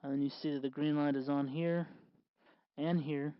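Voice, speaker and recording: A man's voice: a little high, steady tone, moderate pace, no room sound, lo-fi sound. A man hosting an informal hands-on electronics tutorial, explaining step by step. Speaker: tutorial host.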